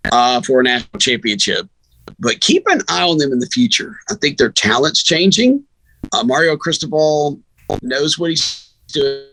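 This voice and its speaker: A man's voice: mid-range voice, bright, forceful tone, breathy edge, dry recording, low-quality recording. A man who works as a sports radio announcer, talking casually as a guest in a rambling, anecdotal way. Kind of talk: casual sports chat and storytelling.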